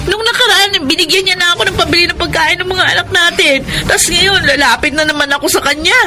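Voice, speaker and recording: A woman's voice: very high-pitched, fast, music in the background. A woman playing a long-suffering young wife and mother in a Filipino radio drama, plaintive and pleading. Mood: worried, pleading.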